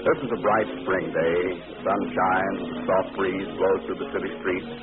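A man narrating a scripted 1940s radio drama as announcer, with dramatic, measured storytelling. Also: deep voice, little pitch movement, over loud music. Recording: tinny old radio recording, clear noise.

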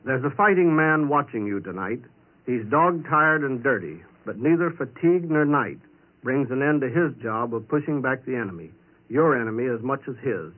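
A man's voice: medium pitch, lively intonation, moderate pace. A man with an American accent giving a scripted patriotic wartime radio address as an official, on a tinny old recording. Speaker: government official.

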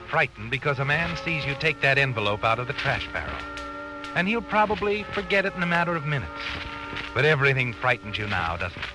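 A sardonic male narrator played by an actor from an old radio thriller taunts a guilty man. His mid-pitched voice rises and dips dramatically and insinuatingly, with music clearly behind it. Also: close to an everyday voice. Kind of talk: old-time radio crime drama narration in second person.

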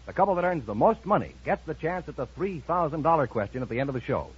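On a dull vintage radio recording, an American man announces a quiz show in 1950s style. His voice is fairly high for a man, very animated in pitch and strongly husky. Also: fast.

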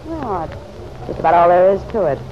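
A hard-edged city gal who is guarded and quick to take offense. She talks in curt, defiant slang, in old-time radio drama style. The sound is a dull vintage recording with faint noise. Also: fairly low pitch, very animated. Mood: guarded, bitter. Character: tough, guarded city woman, huffy and defensive.

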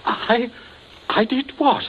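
An actor playing a blustering, nervous gentleman who stammers and flusters, in a 1940s radio suspense drama with a muffled, noisy recording. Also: very high voice, steady tone, takes it slowly. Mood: alarmed, pleading.

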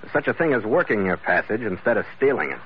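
An actor playing a firm, weary American ship's master in a 1940s radio adventure, with a terse, hard-boiled delivery. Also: male voice, on the low side, very animated, fast, a little hiss or hum. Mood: stern, questioning. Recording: muffled old radio recording with loud music.

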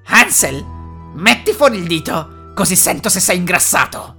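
A woman's voice: fairly low pitch, lively intonation, moderate pace, sharp tone, faint music behind. A woman voicing a cruel, half-blind old witch who barks harsh orders and scolds. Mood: shouting.

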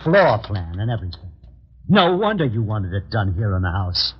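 Menacing, husky gangster hitman. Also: on the low side, very animated, moderate pace. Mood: angry, threatening. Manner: taunting tough-guy slang. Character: menacing, sneering male hired killer, a tough gangster. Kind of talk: old-time radio thriller acting. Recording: dull lo-fi old radio recording.

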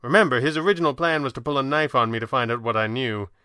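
A man playing a composed, erudite masked crimefighter in an audio drama, speaking in a measured, articulate way with dry wit. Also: medium pitch, sharp tone, clean tone, no echo, close to an everyday voice. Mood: measured, serious. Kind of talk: audio drama character voice in narrated reading.

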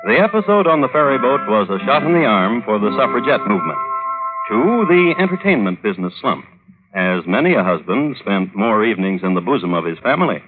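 A man giving wry, mock-solemn scripted narration for an old-time radio drama, deliberate in delivery, on a muffled vintage recording. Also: lowish voice, big pitch swings, faint music behind.

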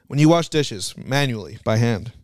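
Giggly young man with lively pitch. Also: normal speed, no echo. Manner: giggly, playful, steers the talk. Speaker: podcast co-host. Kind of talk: casual chat. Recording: dry close mic.

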